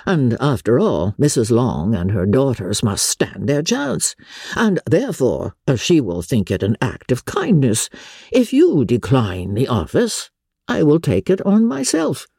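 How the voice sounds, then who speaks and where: A woman voicing a dry, unruffled middle-aged gentleman father in a classic novel audiobook, measured, deadpan and mock-solemn. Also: extremely low pitch, creaky, breathy edge, no room sound, full character voice.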